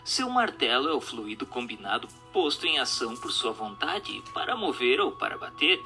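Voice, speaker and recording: A man's voice: mid-range voice, big pitch swings, moderate pace, pushed and bright. A man reads a scripted question-and-answer text in a formal, didactic manner. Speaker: narrator reading a spiritist book. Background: music.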